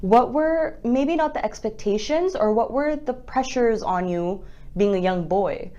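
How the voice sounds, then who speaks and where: A woman's voice: a little high, expressive, measured pace, mellow, muffled recording. A woman hosting a conversational interview, talking in a wordy, circling way as she works toward her questions. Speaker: interview host.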